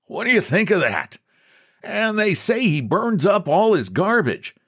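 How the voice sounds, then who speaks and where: Audiobook role: chatty small-town cashier, done by a male narrator, pitched well up, folksy and gossipy. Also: big pitch swings, measured pace, a bit bright, slightly rough, full character voice. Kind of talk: audiobook character dialogue.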